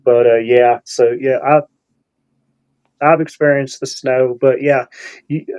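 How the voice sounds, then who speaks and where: A man's voice: medium pitch, steady tone, slow pace, a little mellow, smooth. Guest, man from upstate New York, easygoing, repeats himself, says "y'all". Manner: easygoing, repeats words, uses "y'all" and "you know". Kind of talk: casual chat on a video call. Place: home, on a video call.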